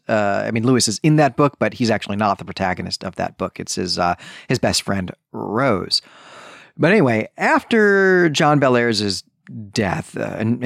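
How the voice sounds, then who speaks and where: A man's voice: medium pitch, vocal fry, dry recording, studio quality. A man hosting a solo book club podcast, talking conversationally with self-correcting asides. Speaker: podcast host.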